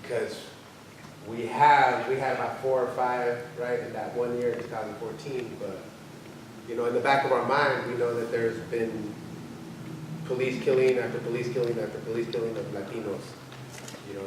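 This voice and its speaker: Halting man in a very echoey room. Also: mid-range voice, little pitch movement. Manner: halting, searching, emphatic repetition. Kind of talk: informal discussion, reflective explanation. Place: large indoor arts-center room. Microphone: distant room microphone. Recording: very echoey room, distant mic, background noise.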